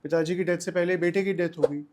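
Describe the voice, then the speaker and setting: A man's voice: sits high, fairly even intonation, fast talker, darkish tone. A man giving explanatory answers as a legal expert on a podcast, emphatically repeating key points and mixing in English terms.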